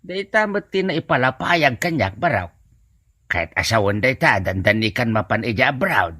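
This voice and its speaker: Bright, lilting nosy uncle played by an actor. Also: male voice, mid-range voice, sing-song, normal speed, no echo, clean studio sound. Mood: sly, prying. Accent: Ilocano. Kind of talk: Ilocano comedy drama acting.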